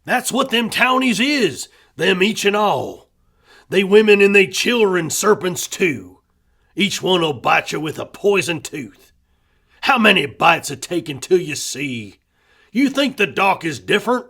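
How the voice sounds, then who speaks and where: A storyteller voicing a gruff, suspicious rural father in a rhyming tale, speaking loudly with a scolding drawl. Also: mid-range voice, lively intonation, slow, full character voice. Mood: bitter. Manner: loud, scolding, hard drawl.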